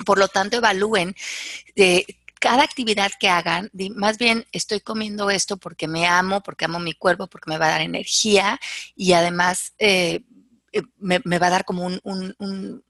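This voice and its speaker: A woman's voice: mid-range voice, a bit bright, no room sound. A woman with a Mexican accent speaking as a life coach, explaining self-help ideas in an emphatic, didactic way with examples.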